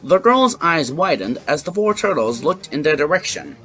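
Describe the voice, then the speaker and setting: A woman's voice: deep voice, sing-song, moderate pace, fairly bright tone. A woman narrating a scripted fan fiction story. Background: background music.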